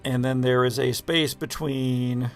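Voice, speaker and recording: A man narrating an improvised scene as game master of a tabletop horror game, with halting, deliberate descriptive phrasing. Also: medium pitch, fairly even intonation, faint music behind.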